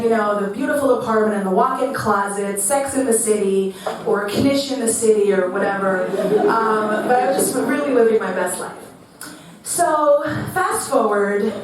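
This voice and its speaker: A woman's voice: mid-range voice, measured pace, slight background noise. Chatty woman telling stories in an echoing hall. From New York. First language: Hebrew. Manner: chatty, self-mocking, salty humor. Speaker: storyteller on stage. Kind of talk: comic personal talk before an audience. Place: event venue with audience. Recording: echoey hall, picked up from a distance.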